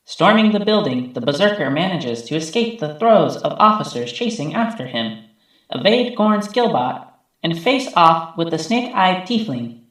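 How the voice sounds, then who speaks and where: A man's voice: sits high, fairly bright tone. A man voicing a computerized memory archive, formally and dramatically narrating a recap through a heavy echo effect. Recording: heavily echoing processed voice.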